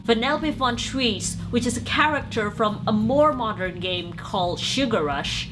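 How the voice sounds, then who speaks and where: A woman's voice: a little high, fairly bright tone. A young woman from Indonesia, speaking English with an Indonesian accent, chatting casually and enthusiastically as a movie reviewer on her channel, rambling a little as she goes.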